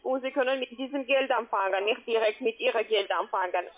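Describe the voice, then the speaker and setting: A woman with a foreign accent, not a native speaker, giving a phone sales pitch as a call centre agent in halting, broken phrasing. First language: not German. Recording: muffled tinny phone line.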